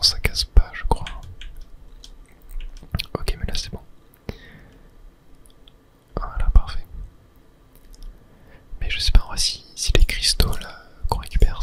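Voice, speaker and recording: A person whispering a casual ASMR commentary while playing a video game, thinking aloud in a tentative way. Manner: hushed, unvoiced whispering. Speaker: gaming video host.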